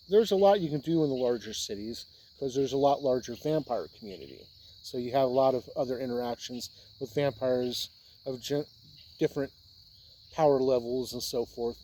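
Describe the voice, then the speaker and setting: An American man from Indiana hosting a video, talking casually and explaining ideas in halting, unhurried phrases with pauses. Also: mid-range voice, mellow, a little hiss or hum. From Indiana, United States. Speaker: host of a tabletop role-playing video channel. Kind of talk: casual explainer talk to camera.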